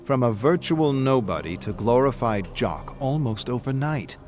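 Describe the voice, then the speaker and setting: A man narrates video game lore with a mid-pitched voice and clear music underneath. His delivery is dramatic and expressive, in the manner of scripted storytelling. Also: moderate pace. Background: background music.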